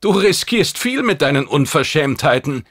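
A man's voice: fairly high pitch, very animated, moderate pace, fairly bright tone, slightly rough, dry recording, clean studio sound, voice pushed up, exaggerated voice. A man voicing a brash, domineering alien warship commander in a science fiction audiobook, blunt and commanding. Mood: amused menace.

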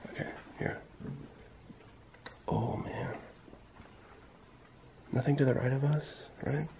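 Gravelly, wary young man exploring. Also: medium pitch, fairly even intonation, slow pace, warm tone. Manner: cautious, wary, questioning. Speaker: urban explorer filming a video. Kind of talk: casual hushed exploring chat. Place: indoors, abandoned school building at night. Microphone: handheld camera mic. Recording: noisy handheld camera audio, clicks.

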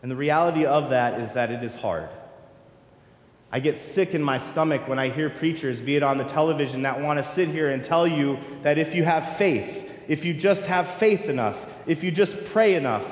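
A man in his thirties preaches with impassioned, repetitive cadence, his voice sitting a little higher than most men's. The sound carries through a large church sanctuary with a long, echoing reverb. He is picked up from a distance by the room microphone. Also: moderate pace. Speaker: pastor. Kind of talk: sermon. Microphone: distant room mic, wide camera.

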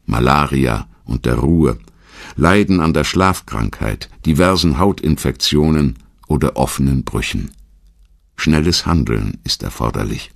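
A man reading a scripted documentary narration in a measured, dramatic storytelling manner. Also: very deep voice, vocal fry, no room sound, clean studio sound.

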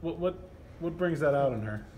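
A man's voice: fairly high pitch, animated, moderate pace, muffled recording. A man working as a sports reporter, asking casual questions at a postgame interview. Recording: faint background noise.

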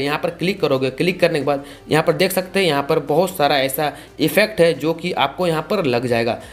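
A man, giving a casual, repetitive step-by-step tutorial. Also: a little high. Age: 20s. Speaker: tutorial host. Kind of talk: casual video-editing tutorial.

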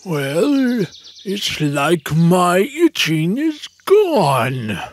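A man's voice: high voice, huge pitch swings, extremely slow, full character voice. A man voicing a big proud male lion, king of the forest, in a cartoon story, speaking in a grand, earnest way.

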